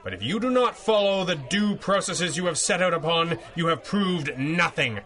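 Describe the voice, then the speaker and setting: Confident pulp hero, crisp forceful tone, eloquent, lawyerly, declamatory, radio drama. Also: male voice, on the high side, expressive, moderate pace. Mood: impassioned, assured. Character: confident, shrewd masked superhero arguing like a lawyer. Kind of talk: 1930s radio serial acting.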